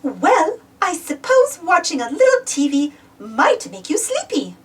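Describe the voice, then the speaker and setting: A woman's voice: extremely high pitch, wildly swooping pitch, unhurried, distant mic, heavily acted. A woman voicing a kindly old grandmother pig in a storybook, with an indulgent manner.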